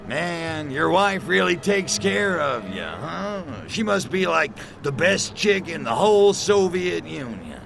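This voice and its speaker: A small, sarcastic gray alien with an American drawl. The voice is full of gravel and takes its time, sliding up and down as it cracks wise. It is game cutscene acting with music behind it. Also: male voice, on the high side, big pitch swings, slow, hardly any pauses, heavily acted. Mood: chummy, probing. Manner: sarcastic, brash, drawling wiseguy. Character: small gray alien invader, cocky wisecracking male.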